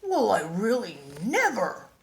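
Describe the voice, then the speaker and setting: A woman's voice: mid-range voice, wildly swooping pitch, slow, gravelly, voice pushed up, exaggerated voice. An older woman voicing a large, blustering male bear from a storybook, growly and insistent, eager to frighten. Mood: huffy. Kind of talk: storybook read-aloud. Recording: echoey room.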